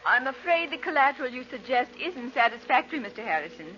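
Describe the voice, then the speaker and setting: A dismissive loan clerk in old radio drama, formal and high-voiced. The recording is dull, with music behind her. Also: sing-song, fast talker. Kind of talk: 1940s radio drama acting.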